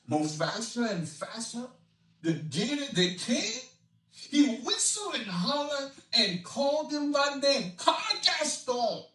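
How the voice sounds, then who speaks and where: A man voicing an excitable old Cajun storyteller in dialect, with dramatic swoops, reading a storybook tale. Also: very high-pitched, slow, pitched above the performer's own voice, full character voice. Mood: excited, hollering. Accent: Cajun Louisiana. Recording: echoey room, distant mic, noisy.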